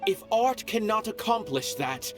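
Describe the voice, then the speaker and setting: A man voicing an eccentric, theatrical art connoisseur in a video game, with grandiose, measured, florid speech. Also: sits high, big pitch swings, music in the background. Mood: lofty, reflective. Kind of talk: dramatic video game voice acting.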